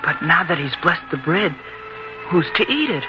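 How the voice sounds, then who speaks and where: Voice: mid-range voice, very animated, moderate pace, music in the background, natural voice. An actor playing an earnest, doubtful young boy who questions his mother in an old-time radio drama. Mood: awed, anxious. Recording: dull lo-fi radio sound, faint noise.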